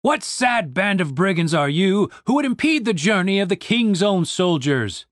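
A man voicing a haughty, sneering male soldier who barks contemptuous orders, in an audiobook. The voice sits higher than his narration and has a different tone. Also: very animated, moderate pace, dry recording, studio quality. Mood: menacing. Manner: contemptuous, barking.

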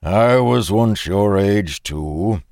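A man voicing a stout, middle-aged male farmer who talks in a deep, brusque and condescending way. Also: animated, extremely slow, no room sound, studio quality, full character voice. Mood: fatherly. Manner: brusque, loud, fatherly.

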